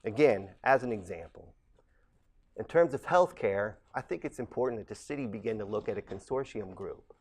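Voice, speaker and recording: A man, giving a measured, earnest campaign statement as a candidate for council president. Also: lowish voice, lively intonation, a little mellow, slightly rough. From Ohio, USA. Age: late 40s.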